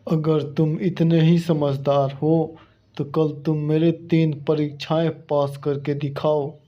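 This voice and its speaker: A man's voice: fairly high pitch, flat delivery, slow, highs cut off. A man playing a proud, hot-tempered lion king in a children's cartoon story, commanding and threatening. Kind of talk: animated children's story dialogue.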